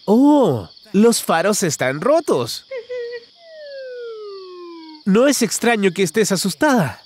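A man's voice: high voice, sing-song, slow pace, darkish tone. A man narrating a children's cartoon in an exaggerated, singsong way, talking to the characters.

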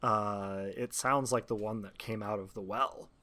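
A man's voice: on the low side, fairly even intonation, a little slow, sharp tone. A man acting as game master, narrating a tabletop game in a casual, improvised way.

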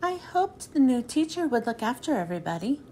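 High, lively woman reading a picture book. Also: a little fast, slight background noise. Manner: expressive storytelling read-aloud. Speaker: children's book reader.

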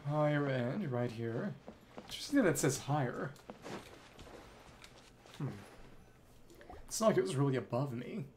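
A woman's voice: a bass voice, animated, a little slow, long pauses, darkish tone, slight background noise. A woman streamer giving live gameplay commentary, musing aloud and reading out game dialogue as she plays. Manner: musing aloud, switching between reading and reacting. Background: game music.

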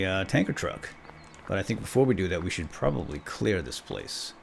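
A man's voice: medium pitch, sharp tone. A man hosting a let's play, giving casual gameplay commentary with playful exclamations and asides.

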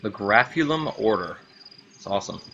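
Male gaming host, lowish and forceful tone, casual thinking-aloud commentary, repeats himself. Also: expressive, normal speed, dull audio. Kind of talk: casual let's play commentary. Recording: faint background noise.

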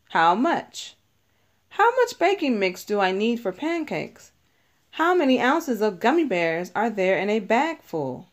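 Slow, lively-pitched woman reading to children. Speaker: read-aloud narrator. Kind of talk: children's picture book read-aloud.

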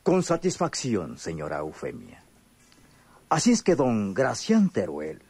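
A man's voice: fairly low pitch, wildly swooping pitch, measured pace, creaky, dark tone, breathy edge, no room sound, full character voice. An actor playing a pompous, courteous, elegant lawyer in his fifties, pedantic and ornate, in a radionovela. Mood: formal. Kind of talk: radionovela acting.

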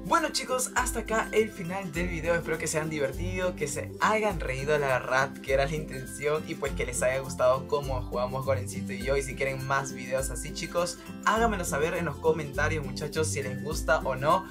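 A young man on a headset, excitedly calling out plays while gaming with a teammate, repeating words rapidly. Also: on the high side, over music. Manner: rapid repeated callouts, cheering. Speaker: gaming streamer. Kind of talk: excited co-op gameplay commentary. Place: indoors at a computer.

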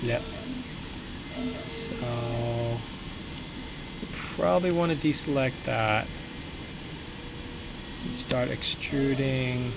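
A man's voice: mid-range voice, steady tone, extremely slow, gravelly, long pauses, loud music behind the voice, low-quality recording. A young man thinking aloud with many fillers while he works on a live stream from a small room at home. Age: young adult. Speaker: streamer modeling a prop. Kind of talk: casual live-stream commentary while working.

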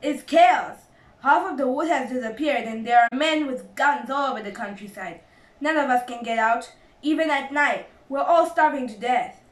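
A woman voicing an excitable, desperate father badger in a storybook, with emphatic, exclamatory, chummy old-fashioned phrasing. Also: a little high, measured pace, few pauses, echoey room, far from the mic, close to an everyday voice. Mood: alarmed, excited.

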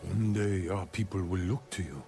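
An older samurai lord in a video game, very low and gravelly. He speaks formally and proudly, with stern authority, over loud music. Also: fairly even intonation, moderate pace, full character voice. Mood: solemn pride. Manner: formal, commanding. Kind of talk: dramatic video game acting.

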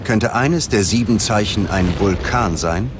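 A man's voice: fairly low pitch, a little slow, very noisy. A man reading scripted documentary narration in a dramatic, ominous manner. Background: dramatic music.

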